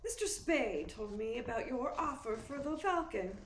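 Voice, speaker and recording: A scheming young femme fatale acted by a woman from a noir radio play, all demure hesitation and anxious pleading. Her voice is high and very lively in pitch. It is heard in a roomy, echoing space. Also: moderate pace. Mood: guarded, haughty. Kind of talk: radio play acting in 1940s noir manner. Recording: roomy, echoey sound with faint noise.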